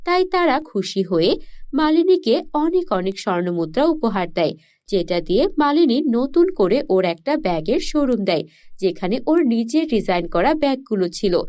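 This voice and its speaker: High, mellow woman storyteller. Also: sing-song, moderate pace, muffled recording. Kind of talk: scripted cartoon story narration.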